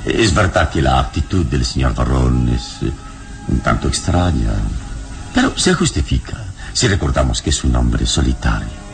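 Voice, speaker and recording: A male actor playing a serene, gallant adventure hero in a Spanish-language radio drama, speaking with calm, courteous solemnity. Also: very deep voice, moderate pace, creaky, soft background music, heavily acted. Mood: calm, reassuring.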